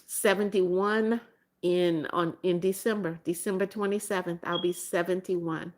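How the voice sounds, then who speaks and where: A woman's voice: lowish voice, steady tone, a little slow, a little mellow. A woman in her seventies hosting a casual livestream. She reads viewer comments aloud and answers them with frequent ums and restarts, and she often repeats her phrases. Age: 70s. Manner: calls herself Mommy Beth and repeats phrases. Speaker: livestream host. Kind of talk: casual live-stream chat.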